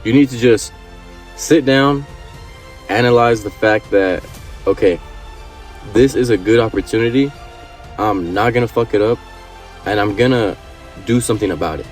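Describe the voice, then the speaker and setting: A man's voice: on the low side, normal speed, long pauses, faint music behind. A man in his early twenties giving an earnest motivational talk to camera, repeating his points and swearing casually. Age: 20s. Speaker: vlogger.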